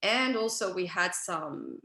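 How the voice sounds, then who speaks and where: French-accented actress, echoey room. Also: female voice, fairly low pitch, takes it slowly. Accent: French with British traces. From France. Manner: animated, chatty, lots of fillers and quoted dialogue. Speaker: actress and interview guest. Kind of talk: casual interview storytelling. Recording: roomy echo.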